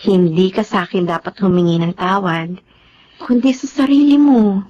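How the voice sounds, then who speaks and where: A woman's voice: mid-range voice, lively intonation, moderate pace, mellow, dull audio, natural voice. A woman with a Filipino accent playing a forgiving, composed ex-girlfriend and mother in a TV drama. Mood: forgiving, earnest.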